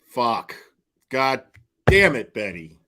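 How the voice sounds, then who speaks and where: A man reacting live as a stream host, his pitch swinging widely as he talks. He is slangy and profane, with a mocking, casual way of commenting. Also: sing-song, slow pace, a bit bright.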